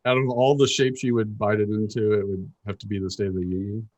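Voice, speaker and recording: A man's voice: on the low side, flat delivery, quick pace, a little mellow, rough recording. A man co-hosting a casual podcast, teasing his co-host and joking around. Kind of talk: casual banter.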